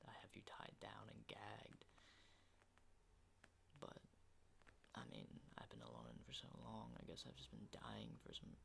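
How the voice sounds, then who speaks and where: A man's voice: sing-song, a bit bright, heavily acted. Man acting a whispering, unhinged apocalypse survivor. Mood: lonely, menacing. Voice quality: breathy whisper with low creaky undertones. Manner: halting, intimate, unhinged musing. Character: unhinged male zombie apocalypse survivor, menacing captor. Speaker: ASMR roleplay performer. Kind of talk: whispered ASMR roleplay.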